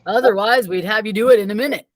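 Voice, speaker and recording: A woman's voice: mid-range voice, quick pace, close to an everyday voice. A woman playing a doubtful adman in a children's storybook, explaining and goading. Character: hesitant, challenging adman dealing with children.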